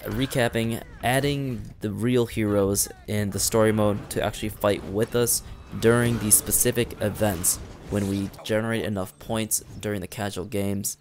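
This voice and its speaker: A young man chatting casually over gameplay, rambling with fillers and restarts, his voice worn raspy. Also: fairly low pitch, steady tone, normal speed, darkish tone, a little hiss or hum. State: raspy, strained voice. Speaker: gaming commentator. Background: faint background music.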